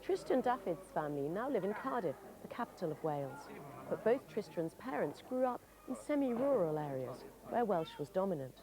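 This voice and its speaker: A woman giving measured, formal, scripted narration for a documentary. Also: mid-range voice, big pitch swings, a crowd in the background, low-quality recording.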